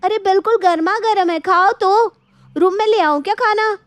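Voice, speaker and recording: Young put-upon daughter-in-law, voiced by a woman, very high plaintive voice, domestic moral story, close dry sound. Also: normal speed, no echo. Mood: coaxing. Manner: plaintive, exasperated. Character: young exasperated daughter-in-law, dutiful wife.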